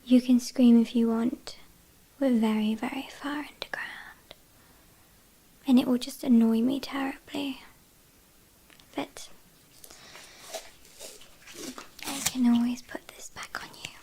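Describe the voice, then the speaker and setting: A British woman playing an ancient, possessive, haughty vampire in a whispered ASMR roleplay, speaking softly with quiet menace and condescension. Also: fairly high pitch, steady tone, measured pace, long pauses. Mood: threatening, impatient. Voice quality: soft breathy whisper.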